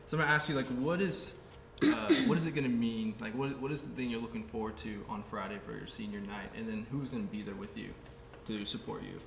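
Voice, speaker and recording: A man's voice: fairly low pitch, fast talker, creaky, hardly any pauses. A man hosting a casual round-table interview, asking questions with lots of fillers. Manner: relaxed, filler-heavy questioning with frequent laughs. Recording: echoey room with background noise.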